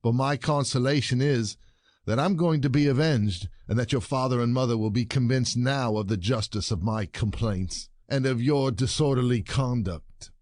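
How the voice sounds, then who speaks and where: An actor playing a jealous, gloating peasant husband in a classic comedy audio play, sardonic and declamatory. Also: male voice, medium pitch, normal speed, a little mellow, no room sound, studio quality, natural voice. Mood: gloating triumph.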